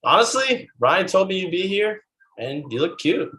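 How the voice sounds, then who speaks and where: Man acting slangy slacker bro, swooping pitch. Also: very animated, sharp tone, slight background noise, heavily acted. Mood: awkward, flirty. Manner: halting, slangy. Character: slacker bro, gun-owning libertarian, flirty and unbothered. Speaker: actor in a screenplay table read. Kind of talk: naturalistic comedy table read.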